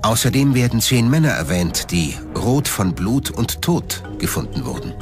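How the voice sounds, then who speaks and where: A man narrates a documentary from a script. His voice is fairly low and moves through lively swings of pitch, with music clearly playing underneath. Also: moderate pace.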